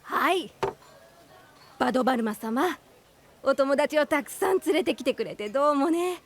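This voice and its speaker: A woman playing a friendly, busy noodle shop worker with a brisk, grateful manner in an anime audio drama. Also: high voice, animated, slight background noise. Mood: cheerful, busy.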